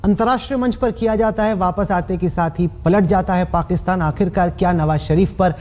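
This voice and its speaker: A woman's voice: lowish voice, a little fast, darkish tone. A woman news anchor conducting a broadcast interview with pointed, probing questions.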